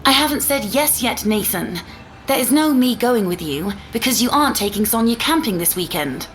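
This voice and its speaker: A woman's voice: mid-range voice, lively intonation, slow, creaky, a little hiss or hum. Fiery, snappy mother acted by a woman. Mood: sarcastic, exasperated. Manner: emphatic, snappy, sarcastic. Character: fiery, exasperated young mother arguing with ex.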